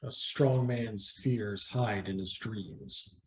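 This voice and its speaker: A man's voice: on the low side, takes it slowly. A man playing a stern, commanding ranger in a fantasy table read, solemn and dramatic. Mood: grave.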